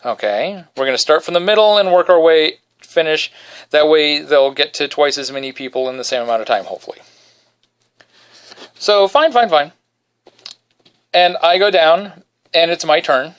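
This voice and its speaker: A man hosting a podcast from his bedroom, telling a self-deprecating story in a casual, animated way and singing short snippets quietly. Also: normal speed, long pauses, smooth. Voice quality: baritone. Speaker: podcast host.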